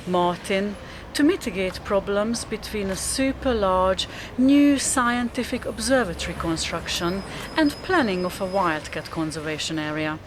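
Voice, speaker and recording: A woman's voice: mid-range voice, noisy background. A woman reading scripted, descriptive narration for a radio drama.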